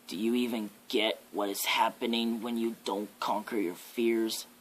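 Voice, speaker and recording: Man playing a slow, menacing devil. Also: lowish voice, flat delivery, a little hiss or hum, close to an everyday voice, poor audio. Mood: taunting. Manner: ominous, drawn-out, theatrical delivery. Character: menacing devil, a living nightmare preaching fear and death. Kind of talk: slow ASMR horror roleplay monologue.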